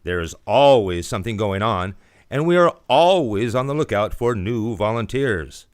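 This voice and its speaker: A man's voice: on the low side, lively intonation, normal speed, dry recording, studio quality. A man reading a scripted promotional announcement for a community theater, in the role of an announcer.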